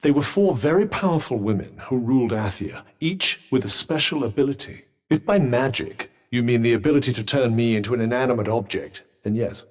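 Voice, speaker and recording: A man's voice: mid-range voice, lively intonation, brisk, few pauses, long reverb tail, close to an everyday voice. A man with a British accent playing a sardonic, pompous magical talking vambrace in a video game, speaking in formal, wordy phrases with dry wit. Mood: measured, dry. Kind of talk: video game character dialogue.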